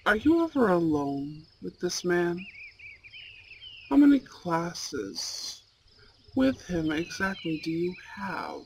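A voice actor plays a suave, possessive young bad-boy boyfriend in an ASMR roleplay. He speaks very slowly and formally, with deliberate care. Crickets and nature ambience sit clearly behind him. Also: mid-range voice, very animated, slight background noise. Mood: probing, jealous. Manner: slow, formal, deliberate phrasing, velvety menace. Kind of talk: ASMR romance roleplay, intimate scripted monologue. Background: crickets and outdoor nature sounds.

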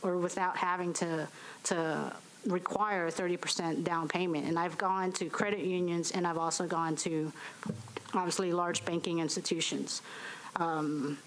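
A young adult woman testifying at a public hearing from a podium microphone, speaking haltingly with many fillers and restarts. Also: lowish voice, fairly even intonation, slight background noise, low-quality recording. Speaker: business owner giving public testimony. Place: municipal hearing room.

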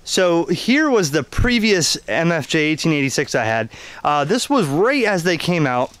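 A man with a fairly high, clear voice explains a piece of ham radio equipment. He talks conversationally and haltingly, like a hobbyist demonstrating gear without a script. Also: very animated, a bit bright, no room sound. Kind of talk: unscripted product demonstration and review.

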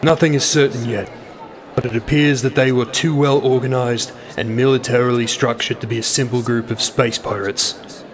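Measured, curt military marshal played by a man. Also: mid-range voice, steady tone, lightly acted. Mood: measured, guarded. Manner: measured, formal, deflecting. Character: evasive, curt military marshal facing the press. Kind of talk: science fiction audio drama acting.

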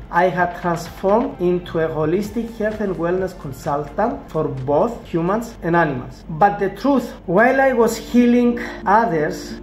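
A man in his forties from Cyprus with a Cypriot Greek accent and Greek as his first language, giving an earnest, measured scripted sales pitch to camera from a small office. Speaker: wellness consultant pitching an online course. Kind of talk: scripted promotional monologue to camera. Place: small indoor office, seated at a desk. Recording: roomy office with faint background music.

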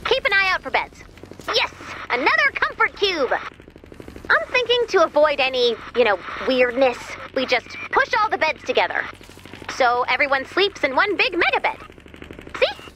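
A woman voicing a cheerful, chatty, excitable robot helper in a video game, with a perky, quirky delivery. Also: high voice, normal speed, a little hiss or hum, full character voice, poor audio. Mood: chatty, encouraging. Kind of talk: video game mission dialogue.

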